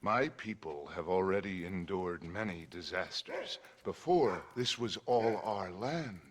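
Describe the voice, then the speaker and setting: A solemn man from a reservation in a video game. His voice is on the low side, and he speaks plainly and directly. The delivery is measured and grave. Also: animated. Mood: grave, reproachful. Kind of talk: restrained video game acting.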